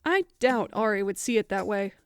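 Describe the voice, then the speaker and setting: A woman playing a gentle, reassuring adventurer in a fantasy audio drama. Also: medium pitch, lively intonation, moderate pace, clean tone, faint music behind, natural voice.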